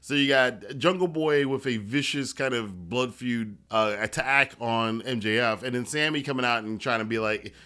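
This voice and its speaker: Man casually reviewing wrestling, mid-pitched voice. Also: moderate pace, hardly any pauses, bright, forceful tone, clear, resonant tone.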